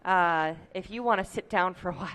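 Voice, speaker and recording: A woman hosting a casual livestream chat, upbeat and quick to laugh, with a slightly tired voice. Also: fairly low pitch, a bit bright. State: tired voice, a little deep. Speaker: host.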